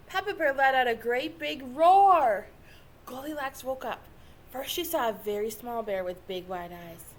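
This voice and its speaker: A woman reading a children's story aloud with expressive, dramatic narration. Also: on the high side, slight background noise. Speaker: storybook reader.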